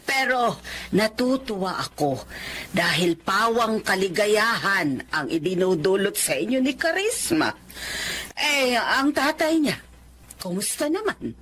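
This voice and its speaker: A woman's voice: medium pitch, lively intonation, takes it slowly, fairly bright tone, slight background noise. An actress playing an effusive, admiring, gossipy woman friend in a radio drama.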